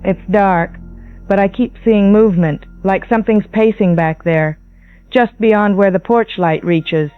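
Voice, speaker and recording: A woman's voice: mid-range voice, unhurried, smooth, no echo, close to an everyday voice. A woman voicing a frightened wife home alone, phoning a late-night radio show in an audio drama over a phone line. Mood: frightened, uneasy. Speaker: radio caller. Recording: thin tinny phone line, music behind.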